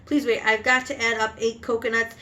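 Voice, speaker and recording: A woman's voice: on the high side, level tone, fairly quick, bright, forceful tone. A scared little schoolboy pleads in a flustered rush, as a woman acts him out. It is a storybook reading. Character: frightened young schoolboy, flustered.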